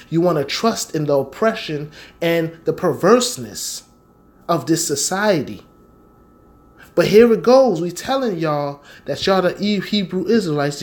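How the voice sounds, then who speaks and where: An American man delivering a fervent, informal sermon in a confrontational, slangy manner, repeating phrases for stress. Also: high-pitched. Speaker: street-style preacher and Bible teacher.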